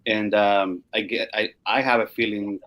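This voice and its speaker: Low-voiced man, halting delivery. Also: fairly even intonation, a bit bright. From Chile. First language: Spanish. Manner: halting, frequent "you know". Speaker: music curator. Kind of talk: casual panel discussion.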